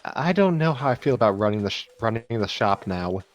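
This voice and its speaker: Man playing a wistful young woman, halting delivery. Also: fairly low pitch, expressive, highs cut off, faint music behind, lightly acted. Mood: uneasy, nostalgic. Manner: halting, repeats and restarts lines. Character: wistful, self-doubting young woman ex-idol running family shop. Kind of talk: dramatic fan fiction reading.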